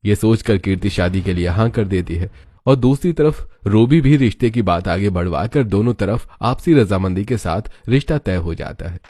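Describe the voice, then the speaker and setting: A man's voice: on the low side, expressive, moderate pace, dark tone, breathy edge, no echo. A man narrating a scripted cartoon story as its storyteller.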